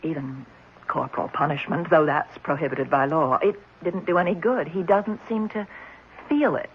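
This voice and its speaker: A woman's voice: deep voice, normal speed, close to an everyday voice. An actress playing a stern, matter-of-fact woman who runs an orphanage in a 1970s radio drama. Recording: muffled, noisy vintage radio recording.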